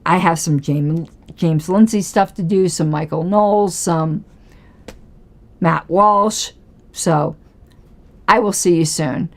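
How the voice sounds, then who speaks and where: A hoarse woman with a lowish voice hosts a casual political commentary livestream. She talks straight to her viewers and argues her case with pointed rhetorical questions. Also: slow, long pauses.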